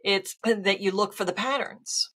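A woman's voice: mid-range voice, unhurried, fairly bright tone, slightly rough. A woman hosting an interview over a video call, earnestly explaining ideas to her guest. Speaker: podcast host. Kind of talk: casual interview chat. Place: home studio, video call. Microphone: headphones and studio mic.

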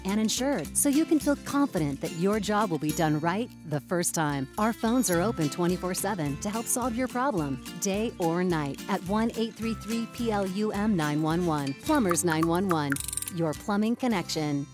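A woman reading a home services commercial in an upbeat, clear voice. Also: mid-range voice. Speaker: ad narrator. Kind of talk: friendly commercial read. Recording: voice-over with music behind.

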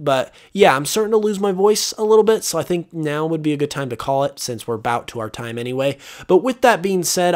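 A young man hosts a solo podcast in a loose, rambling way, full of fillers and restarts. His voice is wearing hoarse and he clears his throat at times, and his pitch sits right in the middle for a man. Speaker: podcast host. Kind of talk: casual solo podcast talk. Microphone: voice-over over gameplay footage.

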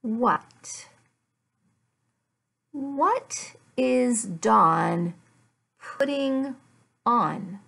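A woman in her fifties gives a simple English lesson at a very slow, deliberate pace. Her voice sits a little high, with marked creak in it. She enunciates carefully and repeats phrases for learners. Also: expressive, a bit bright. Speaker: English teacher. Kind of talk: slow, simplified language lesson read aloud. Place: furnished room at home.

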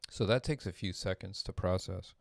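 A middle-aged man giving an informal tutorial at his desk, thinking aloud in a halting way as he explains. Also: sits low, vocal fry, dry recording, clean studio sound. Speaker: composer demonstrating audio programming. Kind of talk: informal screen-share tutorial. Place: small indoor room at a desk.

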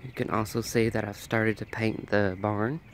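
A man's voice: lowish voice, flat delivery, normal speed, a little hiss or hum. A man with a nasal, allergy-congested voice chats casually as he films a walk through his backyard garden. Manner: chatty, thinking aloud while filming. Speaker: garden vlogger.

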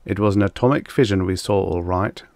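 Man playing a grim, low-voiced spaceship captain. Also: brisk, vocal fry, a little mellow, no echo. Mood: grave. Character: grim, matter-of-fact male spaceship captain. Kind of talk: audiobook character voice.

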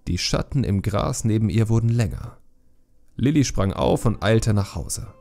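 A man's voice: lowish voice, expressive, moderate pace, gravelly, warm tone, faint music behind. A man reading a scripted fantasy story as an audiobook narrator.

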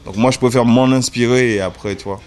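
A man in his thirties from the French Caribbean, a music video director, talking casually in an interview, relaxed and hesitant with many fillers. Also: medium pitch, fast. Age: 30s. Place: indoor room. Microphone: desk mic in front.

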